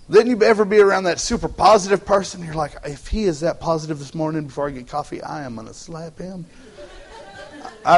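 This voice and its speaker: Folksy Texan pastor with a higher voice. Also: male voice. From Texas. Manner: joking, folksy, repeats words as he starts phrases. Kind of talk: casual humorous sermon. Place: church sanctuary.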